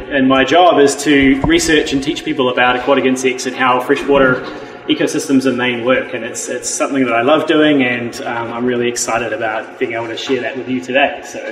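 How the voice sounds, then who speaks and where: A man's voice: mid-range voice, a bit bright. A man with a New Zealand accent, a university professor giving an enthusiastic, casual workshop talk to a live audience, with frequent ums and restarts. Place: meeting room with a live audience. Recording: echoey room with faint music.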